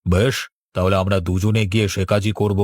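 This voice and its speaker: A man's voice: low-pitched, flat delivery, fairly quick, darkish tone, no room sound, clean studio sound, close to an everyday voice. A man voicing a scheming village strongman in a cartoon, conspiratorial and commanding. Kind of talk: cartoon dialogue.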